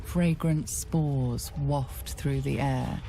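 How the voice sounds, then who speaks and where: An actress playing a grave, poetic storyteller, giving measured second-person narration for a fantasy video game. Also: female voice, very deep voice, fairly even intonation, gravelly, dark tone, a little hiss or hum, heavily acted. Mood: measured, solemn. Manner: measured, solemn.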